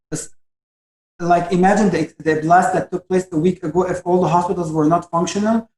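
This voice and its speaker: A young doctor from Lebanon talks over a video call, his voice sitting high. He stops and restarts his sentences often. The sound comes from an echoey room. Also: darkish tone. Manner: halting, frequent restarts and stammers. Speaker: guest doctor. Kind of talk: webinar interview answers.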